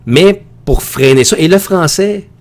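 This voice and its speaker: A man's voice: fairly high pitch, animated, measured pace. A man with a Quebec French accent teaching an informal online lecture in a conversational, opinionated way that often digresses. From Quebec, Canada. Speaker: high school teacher.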